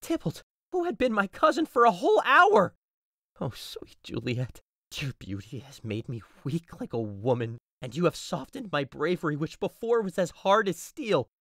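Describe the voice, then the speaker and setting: A man's voice: a little high, wildly swooping pitch, moderate pace, sharp tone, no echo, clean studio sound, full character voice. An actor playing an impassioned young nobleman, emotional and declamatory, in a modernized Shakespeare audio drama. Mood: grieving. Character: impassioned, grief-stricken young nobleman turned vengeful.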